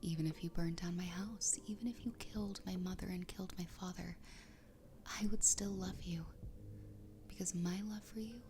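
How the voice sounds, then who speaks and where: A woman's voice: fairly low pitch, fairly even intonation, natural voice. Fox girl wife, played by a woman: obsessively loving, soothing intimate whisper for ASMR roleplay, close-miked over noticeable ambient noise. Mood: devoted, intense. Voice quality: breathy, whispered. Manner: slow, intimate, soothing, doting. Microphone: close ASMR microphone.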